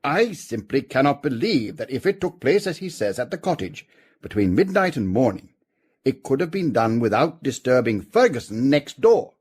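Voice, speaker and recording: A senior police chief in a detective radio drama. His voice is husky and very varied in pitch, and he sets out his reasoning formally and deliberately, with calm confidence. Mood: considered, assured.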